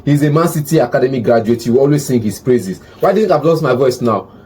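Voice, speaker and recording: A man in a heated football debate, arguing and repeating himself as he builds his point; his voice is hoarse. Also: medium pitch, animated, fast talker, warm tone. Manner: argumentative, repeats words while building a point. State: hoarse, says he has lost his voice. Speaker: football pundit.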